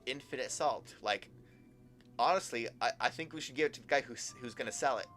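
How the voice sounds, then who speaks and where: Casting: male game streamer, medium pitch, casual, stumbling think-aloud commentary, music underneath. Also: a bit bright. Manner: halting, repeats words while thinking aloud. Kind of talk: casual live gameplay commentary.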